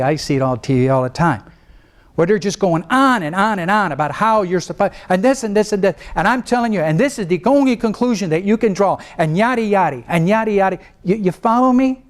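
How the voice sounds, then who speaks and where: A middle-aged man, a trial lawyer, lecturing law students in an animated way, swinging between a low calm voice and sudden raised mimicry. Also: a little fast. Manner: animated, mimics other lawyers with rising pitch, then drops low to show restraint.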